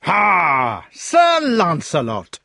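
A man voicing a fat, blustering male knight who shouts slowly and heavily in furious accusation. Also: fairly high pitch, wildly swooping pitch, no room sound, clean studio sound, voice pushed up, full character voice. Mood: furious shouting. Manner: shouting, slow, heavy.

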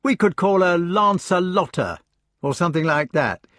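Man doing an eager young knight, high. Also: big pitch swings, moderate pace, dry recording, clean studio sound. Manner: breathless enthusiastic storytelling.

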